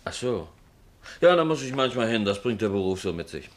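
A man's voice: lowish voice, sing-song, fairly quick. An actor in a 1950s German radio crime drama playing a brusque, world-weary newspaper man with an offhand, dry manner. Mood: offhand, grudging. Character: brusque, world-weary male newspaper reporter, casually impatient. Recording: noisy old 1950s radio recording.

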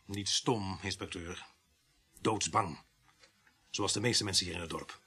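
A man acting in a Dutch radio drama as a harried, earnest village police sergeant who talks briskly and repeats himself when flustered. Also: sits low, pushed and bright, slightly rough, full character voice. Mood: subdued, serious.

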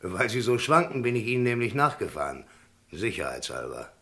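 Chatty cabbie witness in old radio play, plain spoken, very up and down, insistent. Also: male voice, lowish voice, big pitch swings, brisk, fairly bright tone. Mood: insistent, explaining. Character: talkative, matter-of-fact male Paris taxi driver, insistent witness. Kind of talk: 1960s German radio crime play acting.

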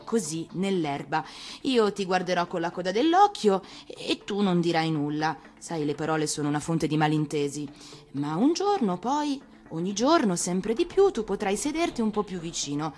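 Woman as an earnest storybook fox, expressive and musical. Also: on the low side, moderate pace, soft background music. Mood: patient. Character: gentle, earnest female fox, patient storybook teacher.

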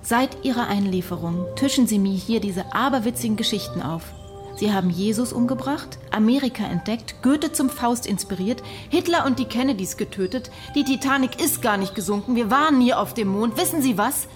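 A woman's voice: a little high, lively intonation, a little fast, soft background music, natural voice. Woman playing a dry, skeptical psychiatrist. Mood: exasperated. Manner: dry, sceptical. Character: skeptical female psychiatrist, dry, exasperated with her patient. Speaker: actor in a radio drama. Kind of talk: German radio drama acting.

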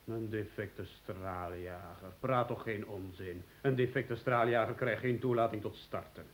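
An actor playing a fussy, excitable, bewildered man in an absurdist radio play, pedantic and theatrical, swinging between hushed and agitated delivery. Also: on the low side, unhurried, close to an everyday voice. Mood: alarmed. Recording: hissy old radio drama recording.

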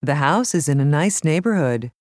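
A woman with an American accent reading scripted language lesson narration in a measured, clearly enunciated way. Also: sits low, animated, darkish tone, dry recording, clean studio sound.

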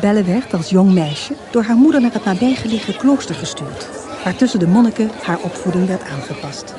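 A woman narrating a radio play, reading scripted descriptions in a measured, matter-of-fact way. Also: medium pitch, mellow, lightly acted. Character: measured female storyteller reading descriptions and life histories.

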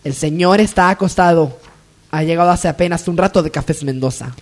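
A woman playing a haughty, spiteful lady of the hacienda in a radio soap, sharp-tongued and disdainful. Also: fairly low pitch, fairly quick, natural voice. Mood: irritated, scornful. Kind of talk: radionovela melodrama acting.

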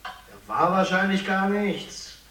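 A man's voice: high voice, a little slow, a bit bright, a little hiss or hum. An actor playing an offhand, gossiping man in casual radio drama dialogue. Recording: roomy echo, distant from microphone.

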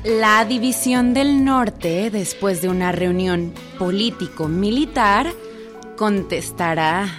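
An actress playing a measured female radio announcer who introduces official declarations in a scripted drama. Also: mid-range voice, faint music behind, natural voice.

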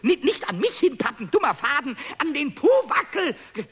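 A man voicing a cheeky, childlike, excitable little kobold in a children's radio play, squeaky and stammering as he repeats his words. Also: moderate pace, exaggerated voice. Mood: hasty, flustered.

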